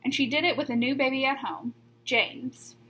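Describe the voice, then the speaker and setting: A woman reading a children's picture book aloud like a teacher, with deliberate emphasis on key words. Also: high voice, fairly even intonation, a bit bright. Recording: noticeable background noise.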